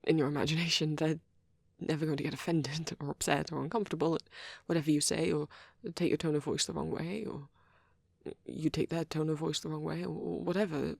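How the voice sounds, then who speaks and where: Shy vampire boyfriend performed by a woman for ASMR roleplay: breathy, creaky, nervous and halting, very dry intimate recording. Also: sits low, fairly even intonation, no echo. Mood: shy, flustered. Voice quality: soft, husky, breathy. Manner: hesitant, stammering, self-doubting. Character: shy, nervous young male vampire on a date.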